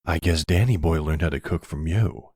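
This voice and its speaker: Man acting as suave middle-aged mob boss, very deep, gravelly, intimate, flirtatious, ASMR roleplay. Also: moderate pace, a little mellow, dry recording, clean studio sound. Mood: teasing, flirtatious.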